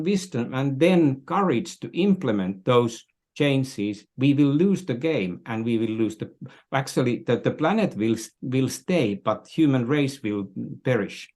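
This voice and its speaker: An older man with a Finnish accent and native Finnish, speaking earnestly and reflectively as an interview guest, with halting phrasing. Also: a little high, a little mellow. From Finland. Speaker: podcast guest.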